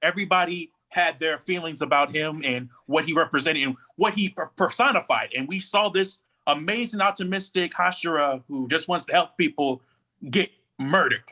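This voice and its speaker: A man's voice: fairly high pitch, normal speed, bright, forceful tone. A man speaking as a panelist in a casual fan discussion, explaining his points conversationally. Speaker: podcast panelist.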